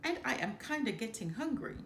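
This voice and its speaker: A woman's voice: a little high, sing-song, a little fast, gravelly, a bit bright, heavily acted. A woman voicing a friendly, adventurous young female lion cub in a storybook, exclaiming in a childlike way. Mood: explaining. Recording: roomy echo, background noise.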